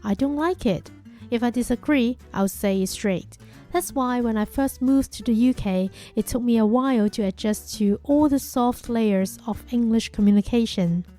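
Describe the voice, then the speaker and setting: A young woman whose first language is Chinese, a podcast host giving a calm, measured, reflective monologue. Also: on the high side, faint music behind.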